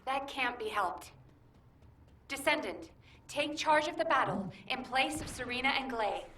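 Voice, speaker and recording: A woman playing an earnest, urgent military operator in a video game, giving crisp mission reports. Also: sits high, animated, unhurried, sharp tone, exaggerated voice. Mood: firm. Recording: distant, echoey, noisy game audio.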